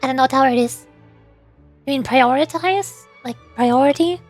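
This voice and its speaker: A woman with a high voice streams a video game. She chats casually and playfully as she reacts and explains, with faint music underneath. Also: moderate pace. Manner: playful, chatty. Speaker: VTuber streamer. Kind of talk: casual live game commentary.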